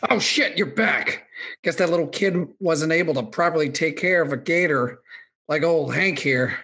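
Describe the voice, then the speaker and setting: A man playing a greedy, sneering swamp villain with a rough, gravelly voice in a comic radio drama. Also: on the high side, normal speed, pushed and bright, heavily acted. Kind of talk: comedic radio drama acting.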